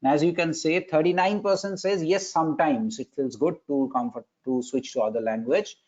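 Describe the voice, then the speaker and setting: A man's voice: fairly high pitch, normal speed, a little mellow. A man, moderating a webinar and politely running timed polls. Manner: polite, procedural, counting down the time. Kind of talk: moderating an online session. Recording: dull lo-fi online call audio.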